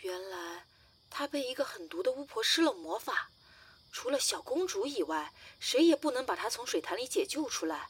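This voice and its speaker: Gentle young woman, lively pitch. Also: moderate pace, slight background noise. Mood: tender storytelling. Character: gentle, caring young woman, affectionate with children. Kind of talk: modern audio drama acting.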